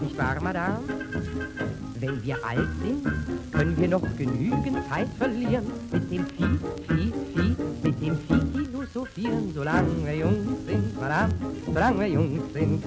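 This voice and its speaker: A man sings a playful cabaret song in an old-time style. The old recording carries loud music along with his voice. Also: a little high, rough recording.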